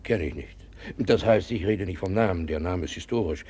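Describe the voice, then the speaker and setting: An actor in a 1950s German radio play, playing a meddling, fawning know-it-all who exclaims, mutters and repeats himself. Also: male voice, deep voice, moderate pace, a little mellow, slightly rough. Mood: knowing, boastful. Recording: 1950s radio drama recording.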